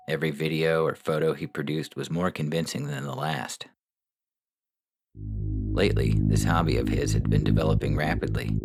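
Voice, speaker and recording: A man reading science fiction stories as scripted narration, in a measured, deliberate way with a gravelly voice. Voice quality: gravelly, very low voice. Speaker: podcast reader and short story author. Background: music under the voice.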